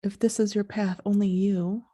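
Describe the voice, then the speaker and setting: A woman hosting a podcast in a soft, sleepy voice, talking in a slow, halting, reflective way. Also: mid-range voice, little pitch movement. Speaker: podcast host.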